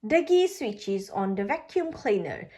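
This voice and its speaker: A woman reading a children's storybook aloud, narrating expressively and deliberately for young listeners. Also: medium pitch, very animated, mellow, faint music behind. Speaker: storybook reader.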